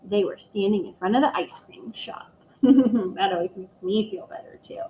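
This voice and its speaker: A woman teacher reading a picture book aloud to young children, expressive and speaking to them directly. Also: medium pitch, normal speed, slight background noise. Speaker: elementary school teacher reading aloud to pupils. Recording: echoey room, dull muffled microphone.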